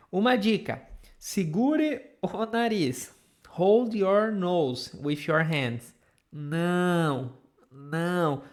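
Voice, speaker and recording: A Brazilian woman with a Brazilian accent teaching Portuguese in a live online lesson, patiently repeating sounds for students to copy. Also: on the low side. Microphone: camera mic.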